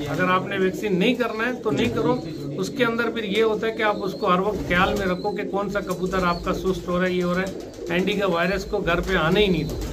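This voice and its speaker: A man with a Pakistani Urdu accent explains how to care for pigeons in a plain, instructive way, going over his points more than once. His voice sits high for a man, and the recording carries clear background noise. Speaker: pigeon keeper giving advice. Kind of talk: casual advice interview.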